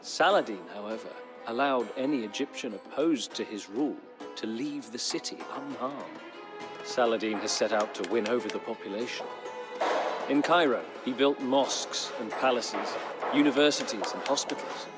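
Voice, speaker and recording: An actor playing a weary captive Norman knight who chronicles his captivity in solemn, measured storybook narration for a strategy game. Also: medium pitch, natural voice. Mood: troubled, admiring. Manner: solemn, measured, literary delivery with dramatic pauses. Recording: studio narration over clear background music.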